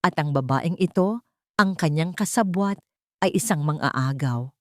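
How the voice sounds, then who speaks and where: A cold, defiant wronged wife voiced by a woman narrator makes emphatic accusations in a story. The voice is fairly low and recorded dry. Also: measured pace, no echo. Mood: accusing.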